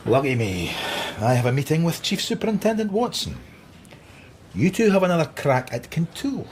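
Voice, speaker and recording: Radio drama: a needling, sardonic Scottish detective, very varied pitch, faint noise behind. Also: male voice, sing-song, normal speed, a little mellow. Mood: brisk, sardonic. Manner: dry, needling sarcasm.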